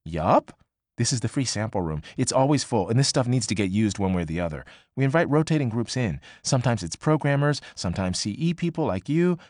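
A man's voice: mid-range voice, very animated, a little mellow, dry recording, clean studio sound. A man voicing a brash, pushy young woman. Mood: breezy. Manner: quick, emphatic, swearing freely. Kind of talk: audiobook character voice.

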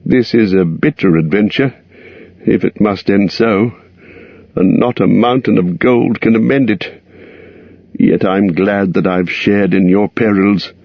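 A man's voice: lowish voice, unhurried, creaky, no room sound. A man voicing a small, anxious male hobbit with a shaky, fretful manner. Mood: sorrowful. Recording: dull lo-fi recording.

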